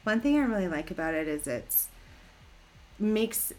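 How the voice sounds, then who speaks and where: A middle-aged woman hosting a casual conversational interview, telling stories in a chatty, animated, informal way. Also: mid-range voice, a little slow. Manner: chatty, informal, animated storytelling with frequent "like" and "right?". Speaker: podcast host.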